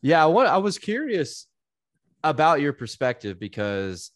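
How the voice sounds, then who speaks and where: A man's voice: a little high, sing-song, unhurried, no echo. An American man in his twenties from South Mississippi, co-hosting a casual chat. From South Mississippi, United States. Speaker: podcast host.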